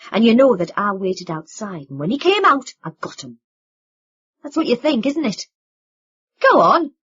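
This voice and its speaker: A woman reading an audiobook, voicing a bitter young man who lashes out at his family with loud, sarcastic accusations. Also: mid-range voice, slightly rough, dull audio.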